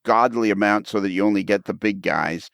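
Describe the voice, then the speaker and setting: A man hosting a podcast in casual interview chat, somewhat halting and repeating himself as he talks. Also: on the low side, gravelly, a bit bright, dry recording. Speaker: podcast host.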